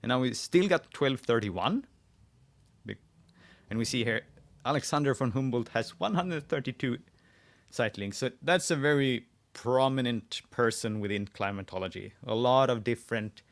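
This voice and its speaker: A man with a Swedish accent hosting a live screen-share tutorial, thinking aloud as he types, often pausing and restarting his sentences. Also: lowish voice, vocal fry. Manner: thinking aloud while typing, frequent restarts. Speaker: host.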